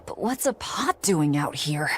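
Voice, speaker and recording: A woman's voice: sits low, expressive, measured pace, slight background noise. An actress voicing a curt, irritable, stern young woman warrior in a video game, with a blunt, impatient manner. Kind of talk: English-dub video game acting. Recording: music behind.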